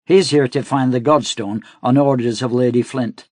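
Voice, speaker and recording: A man's voice: medium pitch, fairly even intonation, moderate pace, darkish tone, dry recording, studio quality, lightly acted. A male narrator voicing a sour, blunt woman soldier who speaks in a cautioning tone.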